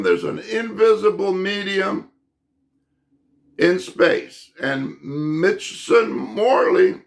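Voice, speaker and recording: Slow, gravelly man with a high voice. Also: a bit bright. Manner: halting, many restarts and repeated "okay?" checks. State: losing his voice. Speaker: channel host explaining his own physics theory. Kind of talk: unscripted monologue to camera.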